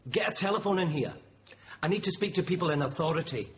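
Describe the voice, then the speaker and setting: A man's voice: a little high, fast, lightly acted. An actor playing a tense armed man holding a classroom hostage, barking short orders. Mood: demanding. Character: armed male hostage-taker, tense, demanding. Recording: dull noisy radio drama recording.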